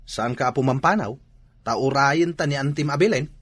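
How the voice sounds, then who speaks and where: A man's voice: medium pitch, normal speed, a bit bright, breathy edge. An actor with an Ilocano accent playing a fussy, chatty father at home in a comedy drama.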